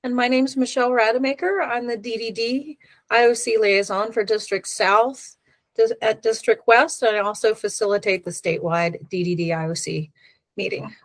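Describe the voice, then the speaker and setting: A woman facilitating a meeting, politely and briskly calling on people by name. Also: on the high side, steady tone, no room sound. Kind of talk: running meeting introductions.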